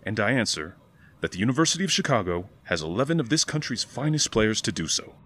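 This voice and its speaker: An actor playing a stern, high-minded older 1920s college football coach in an audio drama, speaking American English with formal, pompous oratory. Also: male voice, very animated, fast talker, a bit bright. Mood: solemn, assured. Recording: clearly noisy background ambience.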